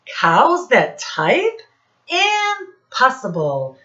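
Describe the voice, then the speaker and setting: A flustered, stubborn farmer from a children's storybook, as a woman reader plays him, exclaims with indignation. The words come out slow and drawn out, a bit raised in pitch, on a dull recording. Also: huge pitch swings, pushed and bright, exaggerated voice. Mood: disbelieving.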